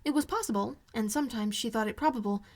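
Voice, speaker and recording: A woman's voice: a little high, fast talker. A woman reading classic fiction aloud as scripted audiobook narration. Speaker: amateur audiobook reader.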